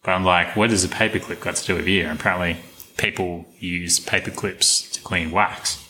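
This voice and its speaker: A man's voice: sits low, brisk, a little hiss or hum, poor audio. A man co-hosting a podcast, chatting casually with many fillers and hesitations. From Australia. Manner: relaxed, hesitant with fillers, laughs often. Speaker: podcast co-host. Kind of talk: casual chat.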